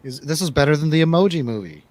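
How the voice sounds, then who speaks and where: A man's voice: fairly high pitch, expressive, quick pace, a little mellow. A man hosting a casual movie review radio show, bantering in a playful, jokey manner.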